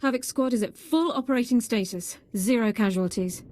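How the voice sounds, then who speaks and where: A woman's voice: on the high side, brisk, darkish tone, lightly acted. Woman playing a precise, formal military officer. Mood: brisk, composed. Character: efficient, formal female military squad officer. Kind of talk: video game cutscene acting.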